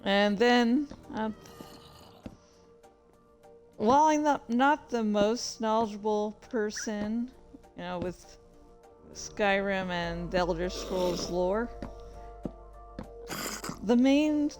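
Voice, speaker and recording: A woman's voice: mid-range voice, very slow, long pauses, darkish tone, faint music behind. A woman chatting casually and hesitantly, thinking aloud as she gives meandering commentary during a game. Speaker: fan fiction author and streamer. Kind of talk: casual rambling commentary while playing a game.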